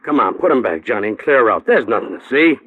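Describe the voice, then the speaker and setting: Thin-sounding grouchy American police technician. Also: male voice, medium pitch, very animated, fast, husky. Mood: grumbling, reluctant. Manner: grouchy, sardonic, casual. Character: grumbling, wisecracking male police lab technician. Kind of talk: 1950s radio detective drama. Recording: thin, phone-like old radio recording.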